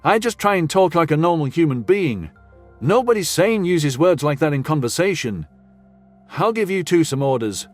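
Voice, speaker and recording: A man's voice: fairly high pitch, animated, normal speed, faint music behind, voice pushed up, close to an everyday voice. A man voicing a brash, indignant male commanding officer with blunt, slangy, sarcastic speech in a sci-fi audio story. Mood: exasperated.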